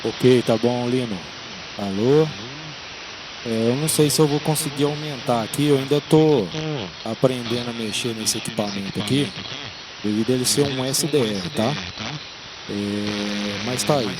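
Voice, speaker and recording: Brazilian guy checking in on ham radio, normal pitch, noisy signal, casual newbie operator talk. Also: unhurried. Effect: radio transmission. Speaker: amateur radio operator, newcomer.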